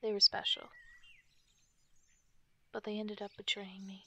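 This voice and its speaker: A woman voicing a guarded, protective wolf fairy in a soft, halting, often whispered ASMR roleplay. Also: medium pitch, completely flat delivery. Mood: somber, wary. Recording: nature ambience and birdsong noise. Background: forest sounds, birdsong.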